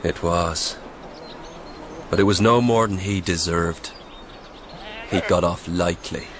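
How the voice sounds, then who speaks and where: Soldier, radio drama, low pitch, grave, weary, measured delivery, faint recording noise. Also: male voice. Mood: guarded, subdued. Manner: grave, measured, old-fashioned storytelling. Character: sombre Irish soldier sergeant, weary eyewitness storyteller. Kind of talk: radio drama acting and narration.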